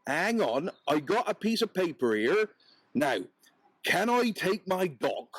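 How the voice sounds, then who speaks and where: A man's voice: sits high, sing-song, a little slow, slightly rough, dry recording, voice pushed up, full character voice. A man voicing a cheery country peddler, earnest, bumbling and polite.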